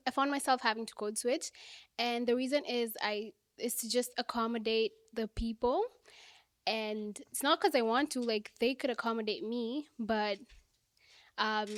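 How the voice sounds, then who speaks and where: A young Kenyan woman with a slightly high voice speaks softly and hesitantly, sometimes dropping to near a whisper. The sound is close and dry. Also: no echo. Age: young adult. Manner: soft-spoken, hesitant, clears throat. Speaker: youth podcast panelist. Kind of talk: casual group discussion.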